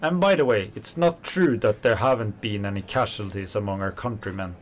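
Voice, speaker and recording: An actor playing an angry working-class kitchen worker, a fiery socialist who talks in heated, scornful bursts. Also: male voice, on the low side, normal speed, lightly acted. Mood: impassioned. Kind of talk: radio play acting.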